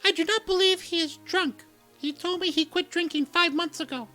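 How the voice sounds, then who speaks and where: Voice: extremely high pitch, moderate pace, bright, forceful tone, soft background music, pitched above the performer's own voice. A reader voicing a young noblewoman princess who speaks formally and emotionally. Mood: earnest.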